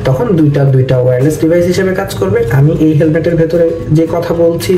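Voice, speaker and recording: A Bangladeshi man explains products in a very dark, mellow, fairly high voice, often dropping in English phrases. He is recorded in an echoey room at some distance from the mic, with music underneath. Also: quick pace. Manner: mixes English phrases into speech. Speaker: motovlog host and motorcycle rider. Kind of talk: explanatory product review talk to camera. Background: background music.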